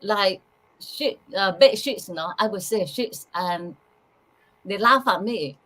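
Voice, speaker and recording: A woman from Sarawak in Malaysian Borneo with a Malaysian accent, a channeler talking softly and simply as the interview guest. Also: mid-range voice, slow. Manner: soft-spoken, simple phrasing, light laughs. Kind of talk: casual interview.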